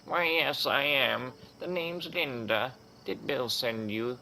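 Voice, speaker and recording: A man's voice: mid-range voice, slow, creaky, background noise. A man voicing a folksy, modest old grandmother villager in a video game. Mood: curious. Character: grateful, gently grumbling old grandmother villager.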